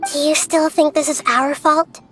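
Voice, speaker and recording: A woman playing a wise, gentle, child-like goddess who speaks in measured, formal phrases in a video game. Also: high-pitched, fairly even intonation, faint music behind. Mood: calm, thoughtful.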